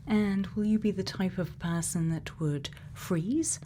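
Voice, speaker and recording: A woman playing a quirky, gently probing scientist in a soft-spoken ASMR roleplay, murmuring unhurried questions and sometimes half whispering. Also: mid-range voice, a little hiss or hum, lightly acted. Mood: calm, attentive. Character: quirky, gently probing female scientist programming a robot's memories.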